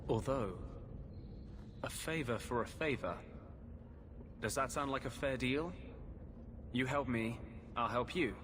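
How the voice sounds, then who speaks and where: A man's voice: mid-range voice, slow, pauses often, background noise, lightly acted. A man playing a bitter, protective runaway mage healer in a video game cutscene, earnest and dramatic with a dry humor. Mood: guarded. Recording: game music behind the voice.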